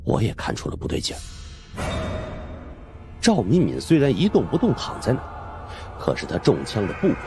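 A man narrating a suspense audiobook in the first person, with dramatic, animated delivery. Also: mid-range voice, normal speed, long pauses, over music.

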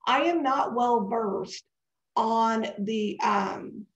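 A woman's voice: on the high side, very slow. Woman, scratchy and congested, chatty and digressive, live webinar, echoey home office, muffled webcam audio. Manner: chatty and digressive, answering questions. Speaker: webinar presenter. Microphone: webcam video call. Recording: dull echoey video-call audio.

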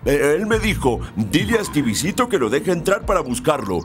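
Animated, bumbling amateur sleuth. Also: male voice, on the high side, normal speed, music in the background. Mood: eager. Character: big bumbling amateur-sleuth man, eager and good-natured. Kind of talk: animated cartoon dub.

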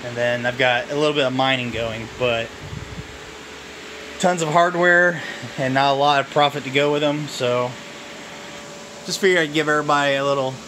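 A man giving a casual, unscripted walkthrough of his home office and phones, relaxed and halting, with plenty of fillers. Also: medium pitch, noisy background. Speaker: vlogger showing his phone farm. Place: cluttered home office full of phones and computers. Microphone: handheld camera mic, filming while walking around.

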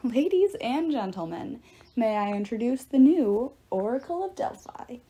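A woman's voice: on the high side, very animated, unhurried, warm tone, husky, heavily acted. Woman voicing a cocky, showy young male god. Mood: cheerful, breezy. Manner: cheerful, showy, announcing grandly.

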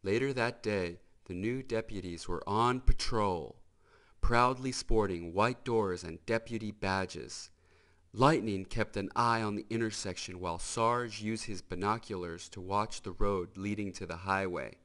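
A man narrating a children's storybook in an expressive, emphatic manner. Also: on the low side, unhurried. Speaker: storybook reader.

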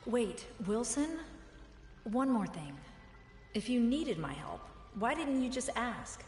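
A young female heroine in a video game, wary and questioning. Her highish voice moves slowly and haltingly, echoing in a long hall. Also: sing-song, darkish tone. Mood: hesitant, questioning. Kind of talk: video game character acting. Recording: echoey hall reverb, faint music behind.

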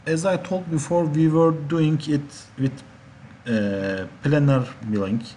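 Guy teaching software step by step, slow n halting, some background noise and keyboard clicks. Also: mid-range voice. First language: Turkish. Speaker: instructor. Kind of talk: software tutorial narration.

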